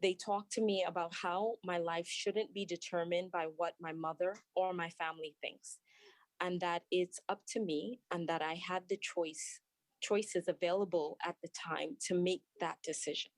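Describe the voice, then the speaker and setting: A young woman doctor reads earnest prepared testimony at a hearing, her voice low and steady. She stumbles and restarts now and then. The sound is dry and close, with no room echo. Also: normal speed. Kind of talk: prepared testimony, read aloud. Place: at work, remote testimony.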